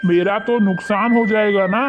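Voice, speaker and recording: A man playing a shrewd, suspicious village moneylender in an animated moral story, speaking with condescension. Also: sits high, normal speed, lightly acted. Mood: condescending, suspicious. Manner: condescending, wary. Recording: muffled lo-fi audio under loud music.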